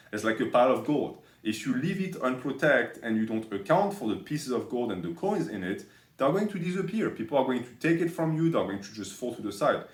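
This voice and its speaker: Male fitness host, blunt and preachy, talking to camera in a reverberant room. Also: mid-range voice. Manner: blunt, preachy, profane at times. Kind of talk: scripted advice monologue.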